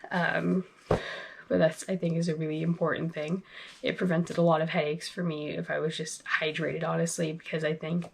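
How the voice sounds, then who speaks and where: A young woman with a husky, gravelly voice chats casually to her viewers, a little sniffly from a cold. Her voice sits on the low side, and she talks in a loose, relaxed way, full of fillers. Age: young adult. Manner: relaxed, chatty, lots of fillers and "like". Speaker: vlogger. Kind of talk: casual talk to camera.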